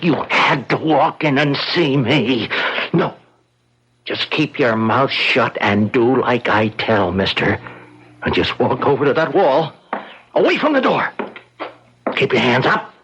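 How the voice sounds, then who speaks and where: A vicious killer from a radio crime play. His talk is slow and threatening, in rough street grammar, and his pitch moves dramatically. There is faint noise on the recording. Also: sing-song, fairly bright tone, exaggerated voice. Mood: menacing, commanding. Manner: menacing, rough uneducated grammar. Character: vicious, sadistic male killer, menacing street thug.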